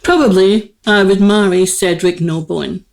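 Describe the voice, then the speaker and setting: A woman's voice: medium pitch, fairly even intonation, a little slow, vocal fry, a little mellow. A woman playing an aristocratic, lovelorn queen, grand yet girlish, with stately, formal theatrical diction in a comic radio play. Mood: wistful.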